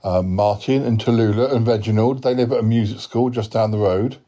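A man's voice: lowish voice, brisk, warm tone, dull audio, lightly acted. A man playing a flustered, polite podcast host, hesitant and apologetic, stammering through a whimsical audio story. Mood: awkward, welcoming.

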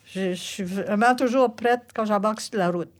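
A woman's voice: medium pitch, normal speed. An older woman with an Acadian French accent, a singer-songwriter telling stories in an interview, laughing often. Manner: lively storyteller, laughs often.

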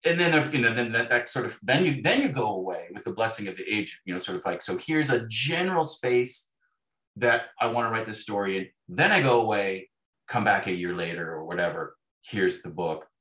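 Male interview guest, lowish voice, animated conversational storyteller who acts out dialogue, recorded in an echoey room. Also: fairly quick. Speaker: guest author. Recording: roomy, echoey sound.

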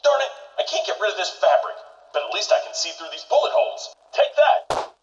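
A man playing an excitable, squeaky-clean, bumbling superhero in a comic-book radio play, with corny wide-eyed exclamations. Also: brisk, recorded from a distance, background noise. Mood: pained, alarmed. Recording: dull lo-fi, off-mic radio-style recording.

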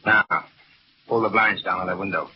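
An actor playing a sullen, menacing fugitive in a 1940s radio drama, curt and commanding. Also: male voice, deep voice, fairly even intonation, normal speed, close to an everyday voice. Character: sullen, menacing fugitive criminal man, tense and bossy. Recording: noisy muffled vintage radio recording.

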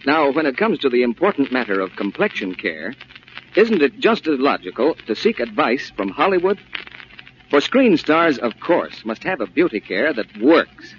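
A man's voice: mid-range voice, sing-song, moderate pace. A man announcing and reading advertising in a polished, persuasive 1940s radio style. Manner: polished, persuasive sales delivery. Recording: muffled, hissy vintage radio recording.

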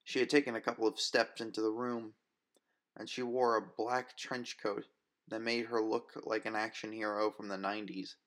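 Casting: male audiobook narrator with a lowish voice for dramatic first-person fiction. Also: a bit bright.

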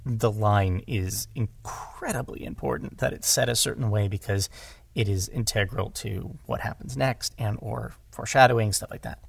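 Man, gravelly fry, close dry sound. Casual podcast talker with self-deprecating, animated asides. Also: medium pitch, no echo. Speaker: podcast guest, audio drama writer and director. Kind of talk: casual conversational discussion.

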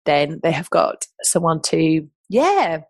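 A woman's voice: low-pitched, sing-song, takes it slowly, no room sound. Podcast host, woman, English accent, middle-aged, enthusiastic and chatty, dry close sound. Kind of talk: casual podcast monologue.

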